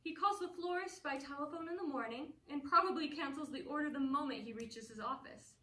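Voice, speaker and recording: An actress playing a worldly, amused stage actress in a play, speaking with poised, gently mocking wit. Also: female voice, high voice, fairly quick. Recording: roomy, distant mic, faint noise.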